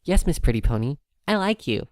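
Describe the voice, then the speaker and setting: A naive little pony filly, performed by a man, pitched far up from his normal voice. The delivery is bouncy and childlike, full of simple baby-talk, as in a cartoonish audio story, and recorded dry. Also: big pitch swings, fairly quick, a little mellow, no room sound. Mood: cheerful, innocent.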